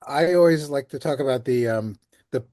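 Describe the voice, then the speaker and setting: A man's voice: mid-range voice, moderate pace, warm tone, no echo, clean studio sound. An older man answering interview questions as a composer, telling stories in a chatty way. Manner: chatty storytelling with light laughs. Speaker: guest, composer and lyricist.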